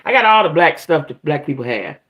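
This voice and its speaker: Animated, chatty woman live-stream host. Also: low voice, a little fast, muffled recording. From northern United States. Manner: playful, rambling, colloquial, frequently checking in with "right?". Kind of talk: casual chatty live stream, reading and answering comments.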